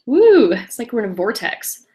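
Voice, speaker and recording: A woman in her late thirties hosting a casual online webinar alone, chatty and self-deprecating, with quick laughs and apologies. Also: a little high, very animated, dark tone, slight background noise. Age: 30s. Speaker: webinar host. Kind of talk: casual solo webinar presentation.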